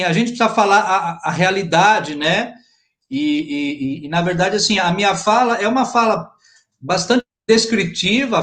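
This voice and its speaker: A man's voice: high-pitched, a bit bright. A man with a Brazilian Portuguese accent interviewing a guest conversationally, deferential and roundabout, often repeating words as he builds a question.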